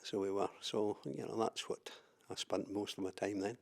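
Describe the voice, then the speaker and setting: A man's voice: lowish voice, fairly even intonation, normal speed, creaky. An older Scottish man from southwest Scotland, recalling his life in an oral history interview, hesitant with many fillers and repeated words. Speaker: interviewee, trade unionist.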